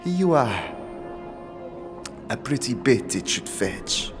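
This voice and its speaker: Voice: mid-range voice, vocal fry. A performer voicing a solemn, cryptic, vengeful woman in a fantasy audio drama, with formal archaic phrasing.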